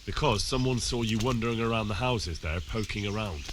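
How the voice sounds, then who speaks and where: An actor playing a young village man in a video game, neighborly but firm, with a measured, questioning manner. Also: on the low side, a little hiss or hum, close to an everyday voice. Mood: stern, pressing. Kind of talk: video game dialogue acting.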